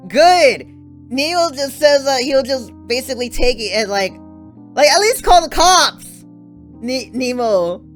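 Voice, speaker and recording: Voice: high-pitched, animated, measured pace, soft background music. A stream host making casual chat, chatty and giggly, asking teasing questions.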